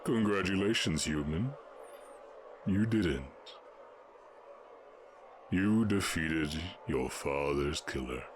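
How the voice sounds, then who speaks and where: A man voicing a stern, imposing orc warchief in a fantasy audio roleplay, speaking in deliberate, imperious proclamations. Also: very deep voice, lively intonation, a little hiss or hum, exaggerated voice. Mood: solemn proclaiming.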